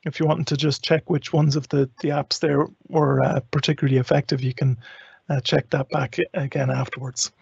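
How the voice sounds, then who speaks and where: Man, show host, husky and gravelly, conversational presenting, dry lo-fi sound. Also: mid-range voice, level tone, brisk, a little mellow, dry recording. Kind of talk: casual live show presenting.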